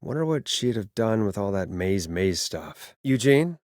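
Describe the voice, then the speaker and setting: A man's voice: fairly low pitch, sing-song, measured pace, a little mellow, dry recording, studio quality. A man acting an earnest, wry late-night radio DJ caught in a crisis in a video game, talking conversationally and sometimes hesitantly. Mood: wry, casual. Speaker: radio host.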